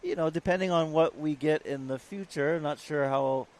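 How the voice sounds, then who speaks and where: A man's voice: fairly high pitch, moderate pace, a little mellow. A man, co-commentating a surf contest live and chatting about local slang words. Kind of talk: live sports commentary, casual banter.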